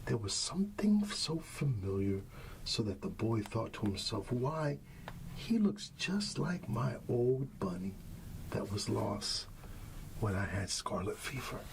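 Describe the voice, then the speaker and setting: A man speaking softly and soothingly as a father at bedtime in an ASMR roleplay, often trailing toward a whisper, with a creaky voice. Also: on the low side, a little hiss or hum, rough recording. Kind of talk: soft ASMR bedtime storybook reading, talking to a child.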